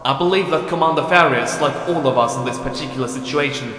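An actor playing a measured, evasive first officer giving testimony in a formal, careful way in a sci-fi audio drama. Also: male voice, mid-range voice, a bit bright, close to an everyday voice. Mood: guarded, reluctant. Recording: very echoey hall reverb.

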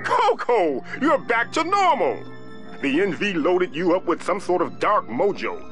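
An actor voicing an irritable, sarcastic magical talking mask in a cartoon game, wry and exasperated. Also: male voice, high voice, wildly swooping pitch, measured pace, heavily acted. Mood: concerned, animated. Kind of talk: cartoon video game acting. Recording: loud music behind the voice.

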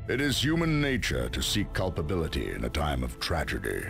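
Very deep, gravelly sombre narrator. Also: male voice, huge pitch swings, fairly quick, a bit bright, soft background music, full character voice. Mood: sombre reflection. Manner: sombre, philosophical. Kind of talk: scripted game epilogue narration.

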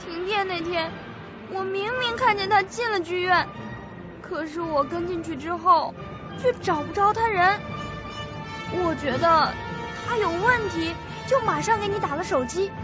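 An actress playing a frightened, tearful young female employee in a suspense radio drama, halting and pleading. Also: very high voice. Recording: dull audio under loud music.

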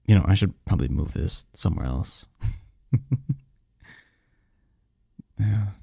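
A man acting a snarky, cynical young research assistant who gets flustered, in an intimate audio roleplay with a husky, halting delivery. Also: sits low, lively intonation, darkish tone, no room sound, studio quality, exaggerated voice. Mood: casual, distracted.

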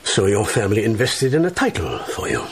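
An actor playing a breezy, feckless Swedish baron and husband in a radio drama. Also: male voice, fairly low pitch, huge pitch swings, fairly quick, background noise. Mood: cajoling.

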